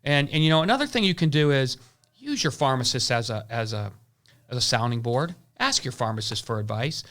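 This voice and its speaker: A man's voice: medium pitch, expressive, brisk, a bit bright. A man, who owns an insurance agency, gives casual advice and rambles, repeating words and restarting his sentences. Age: 40s.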